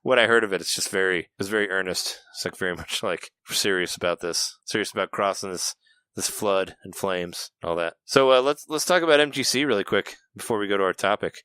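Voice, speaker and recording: Chatty low-voiced bright podcast host. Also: male voice, fairly quick, clear, resonant tone, no echo. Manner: chatty, laughs often, restarts sentences. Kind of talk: casual podcast chat.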